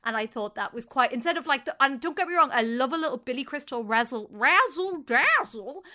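A woman's voice: sits high, lively intonation, brisk, bright, forceful tone. An Irish woman co-hosting a podcast, chatting casually, self-mocking and prone to trailing off. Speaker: podcast co-host. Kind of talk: casual chat.

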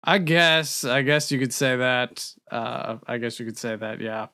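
A man's voice: mid-range voice, unhurried, sharp tone. A man playing a tabletop game, making dry, understated quips. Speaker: player. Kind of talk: casual chat at a game table.

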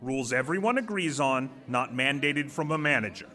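A man playing an assertive, quick-witted former manager in an audio drama, talking in a glib, persuasive, commanding way. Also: medium pitch, animated, pushed and bright.

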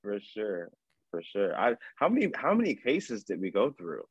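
A man's voice: lowish voice, wildly swooping pitch, normal speed, breathy edge, no room sound, highs cut off. A man chatting casually and slangily with fellow wine professionals, laid-back and not shy of swearing. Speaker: wine professional and competition contestant. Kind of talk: casual chat.

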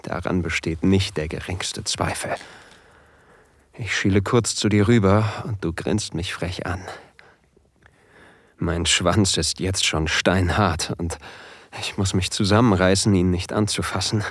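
Actor as nervous submissive husband, low-pitched, confiding, hushed, deliberate, very dry close sound, first-person audio play. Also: male voice, fairly even intonation, breathy edge, no echo, full character voice. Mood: nervous, aroused. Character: eager, nervous submissive husband telling his story. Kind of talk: intimate first-person audio drama narration.

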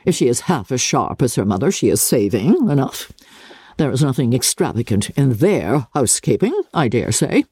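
A woman's voice: a bass voice, big pitch swings, normal speed, dark tone, breathy edge, no echo, clean studio sound, voice pushed down, full character voice. A woman voicing a fussy, querulous middle-aged mother who chatters fretfully and self-pityingly in a dramatic novel reading. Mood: snide, prying.

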